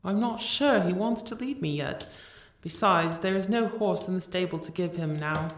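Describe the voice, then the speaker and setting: A woman's voice: lowish voice, moderate pace, a little hiss or hum, natural voice. A woman playing an aged, protective grandmother princess in an audio drama, pleading anxiously in archaic speech. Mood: hesitant. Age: older. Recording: echoey, slightly distant from the mic.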